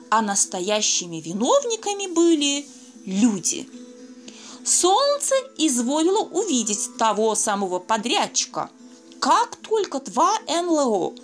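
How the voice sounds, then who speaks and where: A woman reading a children's fairy tale aloud, expressive and speaking fondly to young listeners. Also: on the high side. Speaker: storyteller.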